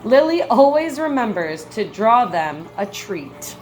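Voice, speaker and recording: Slow-paced woman reading a picture book aloud. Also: a little high, slight background noise, rough recording. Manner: measured, expressive storybook phrasing. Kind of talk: picture book read-aloud with brief comments.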